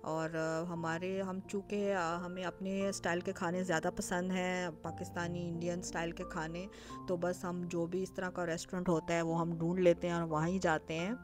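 Woman, lowish voice, chatty vlog voice-over, lots of "uh" fillers, clear background music. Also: level tone, normal speed. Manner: chatty, explanatory, frequent "uh" fillers. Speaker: lifestyle vlogger. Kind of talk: casual recipe and travel vlog voice-over. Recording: clear music bed behind voice.

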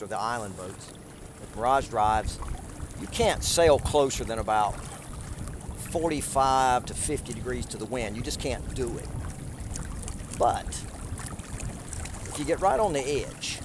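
A man's voice: mid-range voice, expressive, unhurried, long pauses. A middle-aged man talking casually while sailing, with wry, offhand remarks. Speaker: sailor filming himself. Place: outdoors on a small sailboat on a lake. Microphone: onboard action camera. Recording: heavy wind and water noise outdoors. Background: wind, water and boat noise.

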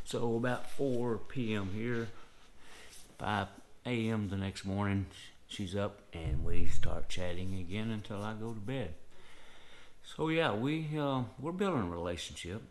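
Deep-voiced older Southern man. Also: unhurried, slight background noise. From Southern United States. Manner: earnest, rambling with frequent "and, uh" fillers. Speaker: vlogger. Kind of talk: casual storytelling to camera. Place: living room, indoors.

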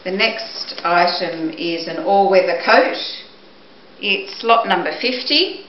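A middle-aged woman presenting items for auction in a measured, matter-of-fact way, speaking to a camera a few steps away indoors. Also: mid-range voice, expressive. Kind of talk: product showcase, descriptive talk. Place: indoors, living or dining room at home. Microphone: camera microphone a few steps away. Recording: echoey room, dull muffled sound, background hiss.

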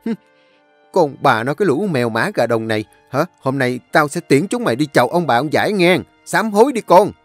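A man voicing a furious young master of a rich household who yells curses. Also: fairly high pitch, animated, brisk, dry recording, soft background music, pitched above the performer's own voice. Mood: enraged. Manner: yelling, cursing.

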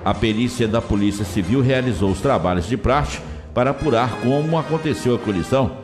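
A man reads police reports with a Minas Gerais Brazilian accent, steady and formal. His voice carries a long reverb tail, and clear music plays beneath him. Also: medium pitch, brisk. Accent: Brazilian Portuguese, Minas Gerais. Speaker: police news reader. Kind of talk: scripted news reading.

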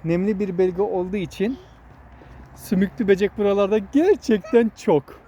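A woman's voice: mid-range voice, moderate pace, dark tone, a little hiss or hum. A woman hosting a casual outdoor vlog, explaining nature to children in an instructive way and often repeating her words. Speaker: vlog host guiding children. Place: outdoors in a wooded area.

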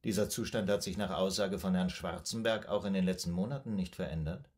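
An actor playing a composed, probing male psychoanalyst in a radio drama, formal, measured and clinical in manner. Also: low-pitched, a little mellow, close to an everyday voice. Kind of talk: German radio drama acting.

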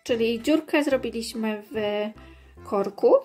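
A woman's voice: fairly high pitch, a little slow, darkish tone, breathy edge, faint music behind. A woman in her thirties explaining things to children in a casual, hesitant way, indoors at home. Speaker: host of children's videos, mother. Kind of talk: casual instructional chat with children.

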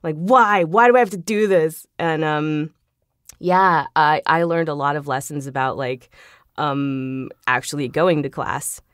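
A woman's voice: low-pitched, a little slow, no echo, clean studio sound. A woman talking casually and informally as a podcast guest, telling self-deprecating stories about herself. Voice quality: trained singer. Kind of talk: casual interview chat, personal anecdotes.

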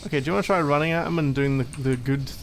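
A needy young boyfriend played by a man in an ASMR roleplay, talking over clicky background noise. His voice is fairly high and crackles with fry. He stumbles through casual explanations, teasing and mildly exasperated. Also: natural voice. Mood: casual explaining. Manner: halting, teasing, mumbly asides while playing a game.

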